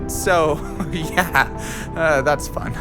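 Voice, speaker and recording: A man's voice: a little high, fairly even intonation, drawn-out words, over music. A young man acting in an audio drama as an earnest, anxious, wry student on a spaceship. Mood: wry, sheepish.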